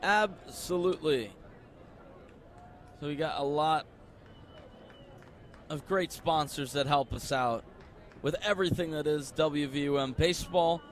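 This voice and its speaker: A man's voice: on the high side, measured pace, long pauses, a little hiss or hum. A young American man doing live radio play-by-play, conversational, stretching words on the big calls. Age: young adult. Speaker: play-by-play sports announcer.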